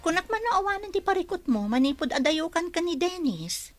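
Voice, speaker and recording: Woman acting an animated, comforting elderly mother. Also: very high-pitched, a little fast, soft background music. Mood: consoling. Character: elderly, comforting mother and grandmother giving patient advice. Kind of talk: radio drama acting.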